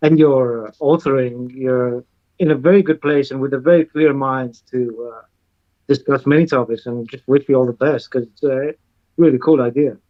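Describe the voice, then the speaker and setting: Man, Greek native speaker, guest producer, mid pitch, lo-fi remote sound, eager halting chat. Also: steady tone. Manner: enthusiastic, halting, repeats words. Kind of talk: casual chat.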